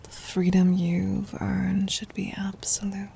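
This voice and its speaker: A woman playing an adoring, gently dominant demon queen in a whispered ASMR roleplay, speaking softly and breathily with tender, regal phrasing. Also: mid-range voice, completely flat delivery, slow, vocal fry, a little hiss or hum. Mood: tender, concerned.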